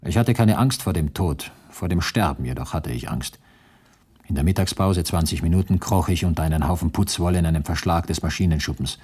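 A man's voice: sits low, fairly quick, darkish tone, slightly rough. An actor playing a male camp survivor who recounts his ordeal soberly and precisely in a radio drama monologue. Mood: bleak, sober.

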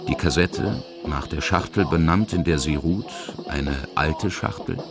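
A man's voice: a bass voice, unhurried. A man reading scripted narration for a radio feature as its reporter. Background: music.

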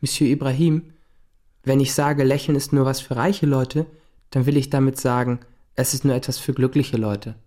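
A cheeky but wary schoolboy played by a young male in a radio play. The voice sits at a medium male pitch, and he speaks plainly, with a defiant edge. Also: fairly even intonation, normal speed, mellow, close to an everyday voice. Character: cheeky, wary schoolboy, son of a cold father. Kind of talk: radio drama acting.

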